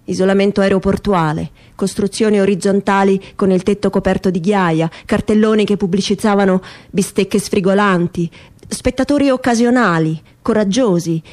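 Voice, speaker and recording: A woman giving a dramatic literary reading in measured, emphatic phrases, in the style of radio theatre. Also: mid-range voice, a little mellow. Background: music.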